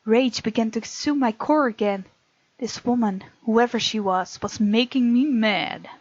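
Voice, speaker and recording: A woman hosting a let's play, reading game text aloud dramatically and reacting with animated sass. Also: a little high, unhurried, dry recording. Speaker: gaming channel host. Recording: slightly dull, lo-fi, game music behind. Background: soft game music.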